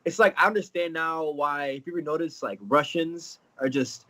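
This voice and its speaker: Laughing, stuffy-nosed fighter guest. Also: male voice, fairly high pitch, normal speed. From Florida. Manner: animated, joking, laughing storyteller. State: stuffy with a cold. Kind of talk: casual interview over video call. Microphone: video call.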